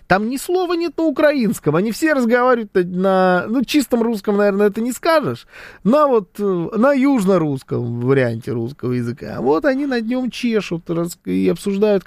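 Casting: male commentator, somewhat high voice, lively and sarcastic, dry close sound. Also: no room sound. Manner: animated, sarcastic, talks with hesitations. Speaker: commentator reading viewer comments. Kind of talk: casual political commentary.

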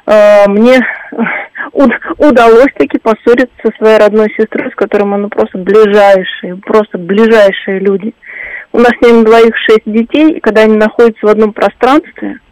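A woman's voice: medium pitch, a little mellow, no echo, poor audio. A woman from Russia calling into a radio show, telling a personal family story candidly and a little ruefully. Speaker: phone-in caller.

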